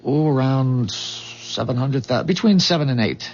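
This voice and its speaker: An actor playing a calm, earnest man from a dying future, explaining gravely in measured 1970s radio drama style. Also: mid-range voice. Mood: grave, matter-of-fact. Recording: dull, muffled 1970s radio drama recording.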